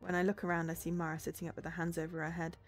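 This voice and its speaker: A woman's voice: lowish voice, flat delivery, rapid-fire. A woman streamer reading a visual novel aloud as dramatic narration. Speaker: game streamer. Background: game music.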